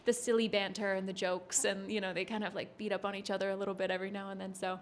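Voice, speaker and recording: A woman in her thirties talks backstage in a loose, rambling manner at a middle pitch. A faint hiss of background noise runs under her voice. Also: quick pace. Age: 30s. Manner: relaxed, rambling, laughs easily. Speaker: touring bassist guest. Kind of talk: backstage interview chat. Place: backstage at a concert venue.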